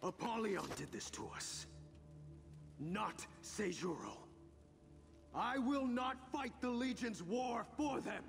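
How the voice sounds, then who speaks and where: An actor playing a resolute, proud samurai champion in a video game, speaking in a terse, solemn and commanding way. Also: male voice, high voice, animated, slow pace, gravelly, heavily acted. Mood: defiant, urgent. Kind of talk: dramatic video game cutscene acting. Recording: game audio with loud music underneath. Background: loud music.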